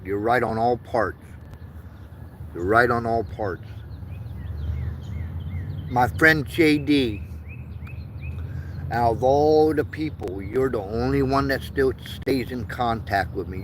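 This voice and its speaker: A man's voice: mid-range voice, slight background noise. A man in his fifties, born in Vietnam and raised in the United States, talking earnestly in a rambling livestream, often stopping to repeat himself. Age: 50s. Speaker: livestream host. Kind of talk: rambling casual livestream monologue.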